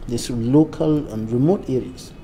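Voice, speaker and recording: A man's voice: medium pitch, measured pace, creaky, dark tone. A male news anchor interviewing a guest, asking long, carefully framed questions.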